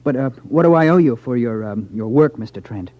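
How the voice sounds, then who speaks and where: A man's voice: medium pitch, expressive, fairly quick, natural voice. An American actor playing a naive, grateful young man in a 1950s radio drama, hesitant and boyish. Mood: sheepish, grateful. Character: naive, grateful young male gambling victim. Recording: muffled 1950s radio broadcast recording.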